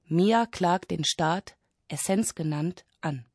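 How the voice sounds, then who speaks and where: A woman's voice: on the low side, slow pace, darkish tone, breathy edge, no echo, studio quality. A woman doing a scripted literary reading for radio. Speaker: reader of excerpts and summaries.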